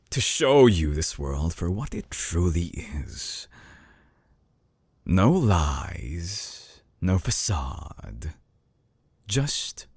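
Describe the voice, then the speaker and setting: A man playing a suave, obsessive villain scientist in an intimate audio drama, murmuring with silky condescension in a breathy, theatrical way, close to the mic. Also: a little mellow, no echo, full character voice. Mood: coaxing, seductive. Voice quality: low, breathy, husky murmur. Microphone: close binaural microphone.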